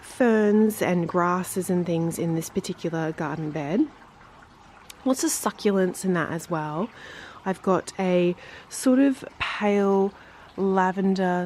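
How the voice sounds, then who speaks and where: A woman's voice: on the low side, a little mellow, slight background noise. A woman with a slightly nasal, congested voice, getting over an illness, giving a chatty, casual garden tour as a vlog host. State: recovering from illness, sinusy. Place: outdoors in a garden.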